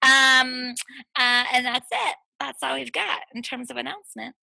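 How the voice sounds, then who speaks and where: A woman's voice: high voice, normal speed, gravelly, bright, forceful tone, no echo, rough recording. A woman from Brazil with a Brazilian accent, co-hosting a podcast in casual, verbose, playful chat, recorded in a small closet. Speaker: podcast co-host.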